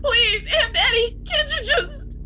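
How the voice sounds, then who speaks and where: High-pitched, sobbing young mother. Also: sharp tone, exaggerated voice. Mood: desperate, pleading. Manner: sobbing, halting, repeating words. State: crying. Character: anguished young mother in childbirth, pleading. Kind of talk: radio drama acting. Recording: dull, clearly noisy recording.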